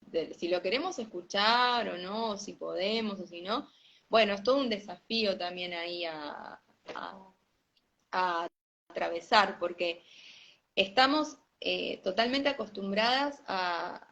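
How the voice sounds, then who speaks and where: Gravelly-voiced woman, calm and measured. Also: mid-range voice, a little hiss or hum. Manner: calm and measured, hesitant pauses with fillers. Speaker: guest, somatic yoga teacher. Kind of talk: reflective conversation. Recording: dull lo-fi audio.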